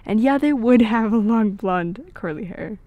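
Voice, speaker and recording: A woman playing an affectionate, playful young girlfriend in an intimate, soft-spoken ASMR roleplay, with hesitant pauses. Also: fairly high pitch, animated, dry recording. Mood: playful, amused. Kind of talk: intimate ASMR roleplay, scripted but natural.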